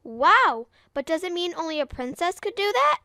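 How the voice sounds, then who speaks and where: Young cartoon boy, high and bright, excited, wide-eyed, exclaiming. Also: normal speed, no room sound, studio quality, exaggerated voice. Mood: excited, curious. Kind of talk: children's cartoon dialogue.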